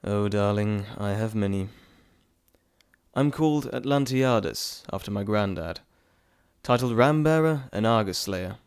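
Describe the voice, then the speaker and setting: A man's voice: on the low side, measured pace, clear, resonant tone, no echo. A man playing a glib, dry-sounding trickster god. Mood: proud, teasing. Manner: chatty, theatrical, teasing, self-interrupting. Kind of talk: scripted audio roleplay addressed to the listener.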